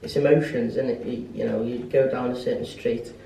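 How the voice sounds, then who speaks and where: Mellow young Englishman in an echoey space. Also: medium pitch, little pitch movement, brisk, creaky. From Stoke, England. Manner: conversational, adds tag questions. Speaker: interviewee. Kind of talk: casual chat. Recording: echoey, distant mic, faint noise.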